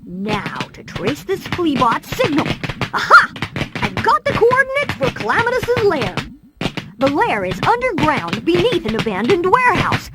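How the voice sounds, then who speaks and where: A woman's voice: very high voice, huge pitch swings, normal speed, full character voice. A woman voicing a brainy, earnest boy inventor in a cartoon video game, eagerly explaining technical plans. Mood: confident.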